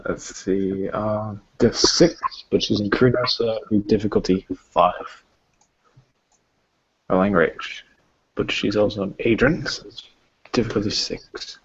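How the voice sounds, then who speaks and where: A man's voice: on the low side, fairly even intonation, unhurried, long pauses, a little hiss or hum. A man talking casually through a tabletop roleplaying game, muttering counts and explaining rules in a hesitant way, heard over lo-fi voice chat. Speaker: tabletop roleplaying game master or player rolling combat.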